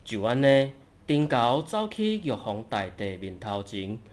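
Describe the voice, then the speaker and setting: A male narrator with a Taiwanese accent tells a folk story. His pitch is average for a man, and he takes his time with a slow pace. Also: big pitch swings, darkish tone.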